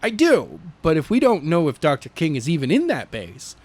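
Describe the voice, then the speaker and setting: A man's voice: on the high side, wildly swooping pitch, normal speed, a little mellow, husky, dry recording, full character voice. A man voicing a conscientious, hesitant young hero, reasoning earnestly in an amateur audiobook reading.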